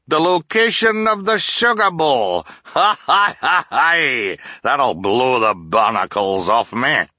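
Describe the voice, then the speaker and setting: A man voicing a blustering, excitable ship captain who shouts and tacks "aye" onto everything. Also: mid-range voice, takes it slowly, hardly any pauses, no echo, clean studio sound, pitched above the performer's own voice, full character voice. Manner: loud, exclaiming, tacks on "aye" constantly.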